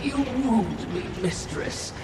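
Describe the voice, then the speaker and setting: Echoey, high-voiced, fawning spirit servant. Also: male voice, animated, slow pace, vocal fry, background noise, full character voice. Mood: sardonic, fawning. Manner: formal, fawning, snide. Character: obsequious, sardonic spirit servant guiding a warrior mistress. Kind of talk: theatrical video game dialogue. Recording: echoey, distant, loud rumbling game ambience.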